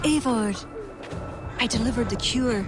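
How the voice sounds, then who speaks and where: A woman's voice: a little high, measured pace, over loud music, natural voice. A woman playing a composed ally. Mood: calm. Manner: calm, explanatory. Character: wise female Irish ally, composed. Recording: game audio with ambient noise.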